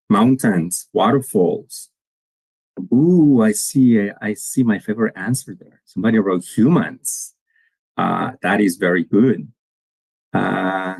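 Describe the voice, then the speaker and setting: A man's voice: on the low side, darkish tone, husky, dry recording, poor audio. A man, giving an earnest conference talk to students and restarting his phrases often. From Colombia. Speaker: guest speaker.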